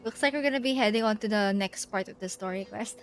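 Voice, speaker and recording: A woman streaming a game live, chatting casually and giggly as she thinks out loud and reads bits of text. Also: mid-range voice, fast talker, soft background music.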